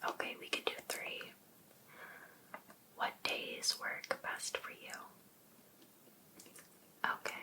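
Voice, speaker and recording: Woman acting as jealous female life coach, ASMR roleplay, whispered, very slow, coaxing, possessive, sweetly pushy. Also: close to an everyday voice, poor audio. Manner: slow, breathy, coaxing whisper addressed to one client.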